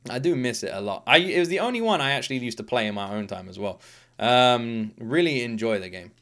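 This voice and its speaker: A man's voice: medium pitch, expressive, a little fast, a bit bright. A man hosting a reaction video, talking casually and emphatically with informal, sweary enthusiasm.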